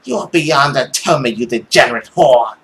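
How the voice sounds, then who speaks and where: A man's voice: medium pitch, expressive, normal speed, fairly bright tone. A man voicing a cruel, bitter mother in a visual novel, scolding harshly and dramatically. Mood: contemptuous. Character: cruel, furious, abusive mother, bitter and self-pitying.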